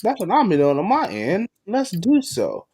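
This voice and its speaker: A man's voice: high-pitched, huge pitch swings, unhurried, a little mellow, slightly rough. A man hosting a podcast in casual, slangy, promotional chat. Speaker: podcast host and voice actor.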